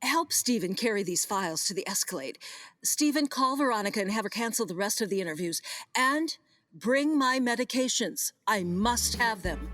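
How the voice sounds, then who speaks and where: A woman's voice: on the high side, natural voice. A woman acting a gracious, commanding, flirtatious vineyard owner with genteel, theatrical emphasis in a comedic radio play. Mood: flustered, commanding.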